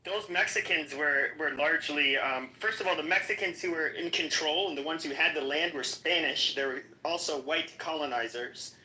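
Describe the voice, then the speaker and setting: A man with a somewhat high, sharply bright voice debates in an echoing room with faint noise around him. He challenges the others, halting as he works through his argument. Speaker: debate participant. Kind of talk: informal political debate. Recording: roomy echo with background noise.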